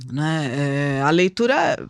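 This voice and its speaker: Brazilian woman, podcast co-host. Lowish voice, casual conversational delivery, close and dry recording. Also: very animated, slow pace, clean tone, no room sound.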